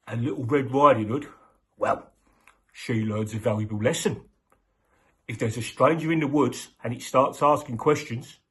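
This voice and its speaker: A man's voice: medium pitch, slightly rough. A man telling a comic bedtime story in a cheeky, slangy way, often half-whispering. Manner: cheeky, slangy, deadpan asides.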